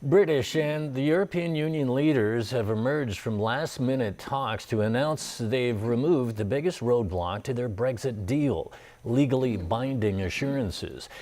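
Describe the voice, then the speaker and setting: A man's voice: medium pitch, a little mellow. A man reading scripted broadcast news as an anchor.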